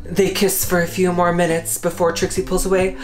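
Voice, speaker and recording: Woman, story reader, low voice, slow deliberate narration, guitar music. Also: completely flat delivery. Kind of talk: dramatic reading of fan fiction.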